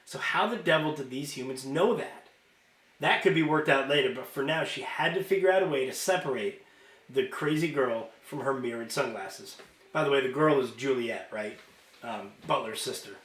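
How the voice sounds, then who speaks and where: A man's voice: medium pitch, normal speed, fairly bright tone, roomy sound, poor audio. A man reading a novel aloud as a storyteller, with informal asides. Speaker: reader of a children's novel.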